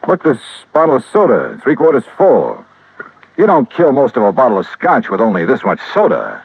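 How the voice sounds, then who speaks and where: An American actor playing a hard-boiled investigator in a 1950s radio drama, sharp-eyed and quick to protest. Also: male voice, mid-range voice, wildly swooping pitch, gravelly, breathy edge, dry recording, heavily acted. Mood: probing. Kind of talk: 1950s radio drama acting and noir narration. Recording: muffled, noisy vintage radio recording.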